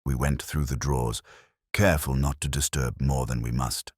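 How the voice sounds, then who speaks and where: A man playing a weary, middle-aged police inspector who narrates his case in a measured, literary, brooding manner. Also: extremely low pitch, fairly even intonation, darkish tone, slightly rough, no room sound, studio quality. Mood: reflective, melancholy. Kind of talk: scripted first-person detective audio drama narration.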